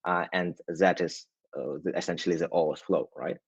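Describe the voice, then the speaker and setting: Low husky-voiced man from Russia, a patient guest who explains step by step over a dull, dry line. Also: fairly even intonation, moderate pace, no room sound. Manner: hedging, frequent "right?" tags and restarts. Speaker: guest, automation agency founder. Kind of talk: casual explanatory interview talk.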